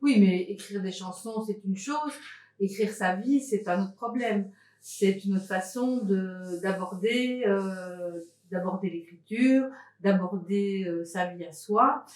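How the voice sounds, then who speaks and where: Woman, interviewer, unhurried, halting, middle pitch, roomy echo. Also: darkish tone. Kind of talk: casual interview questions.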